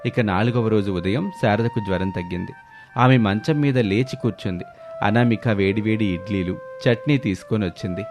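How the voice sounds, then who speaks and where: A man's voice: on the low side, moderate pace. A man narrating a scripted moral story as the storyteller. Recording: music bed under the voice.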